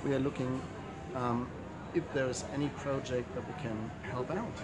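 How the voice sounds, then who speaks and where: A middle-aged man answering interview questions outdoors in a relaxed way, often repeating words and restarting. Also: medium pitch, moderate pace. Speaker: project founder. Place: sunny outdoor terrace among palms. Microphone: camera in front, two people framed. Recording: loud outdoor noise, passing vehicles.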